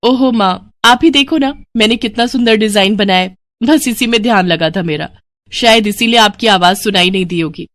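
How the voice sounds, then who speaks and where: A woman's voice: mid-range voice, normal speed, fairly bright tone, no room sound, clean studio sound, lightly acted. A woman playing a cheerful, sweet and eager young woman in an audio story. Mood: cheerful, coaxing.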